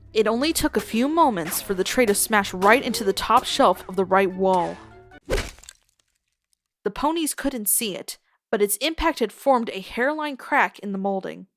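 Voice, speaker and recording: A woman reads a scripted tale as narrator, in a mid-pitched voice. Her delivery is expressive, with faint music underneath.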